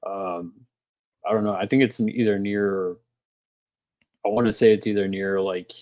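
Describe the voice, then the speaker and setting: A man's voice: fairly low pitch, steady tone, moderate pace, a little mellow, no echo, lo-fi sound. A man from Texas, a guest on a film commentary, talking hesitantly with many fillers and restarts. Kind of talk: casual film commentary chat.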